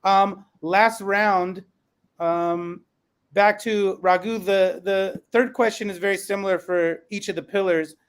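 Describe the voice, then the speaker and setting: A man's voice: high voice, fairly even intonation, slow, clean tone. A man moderating a podcast panel, talking earnestly and conversationally as a parent about learning.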